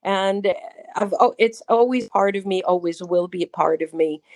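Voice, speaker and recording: A woman's voice: mid-range voice, moderate pace, darkish tone, no echo. A middle-aged woman from Israel with an Israeli accent, a rabbi and cantor answering an interview in an effusive, conversational way with frequent restarts.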